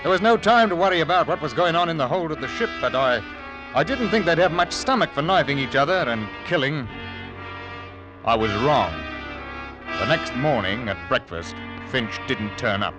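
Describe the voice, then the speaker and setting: An actor playing a beleaguered male ship captain, narrating gravely in a 1940s radio drama. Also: a little high, lively intonation, normal speed, lightly acted, rough recording. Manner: grave, tense, flaring into shouts. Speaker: narrator and lead. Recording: old radio broadcast with music underneath.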